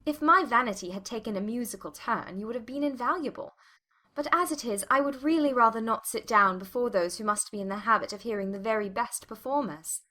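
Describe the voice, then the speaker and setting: An actress playing a spirited, self-possessed young gentlewoman in a dramatized audiobook, arch and articulate. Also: a little high, fairly quick. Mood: playful.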